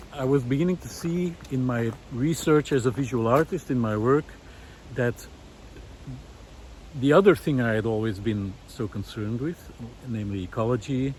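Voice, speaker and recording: A man's voice: mid-range voice, a little mellow, a little hiss or hum, poor audio. A man giving an unscripted, reflective monologue, thoughtful and hesitant as he searches for words. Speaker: visual artist turned ecology project host.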